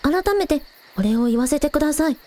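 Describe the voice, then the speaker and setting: A woman as a high-voiced, eager village idol. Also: fairly quick. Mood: polite, grateful. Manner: expressive, polite to elders, teasing with her friend. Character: excitable, friendly young village idol woman, eager fan. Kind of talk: Japanese audio drama dialogue.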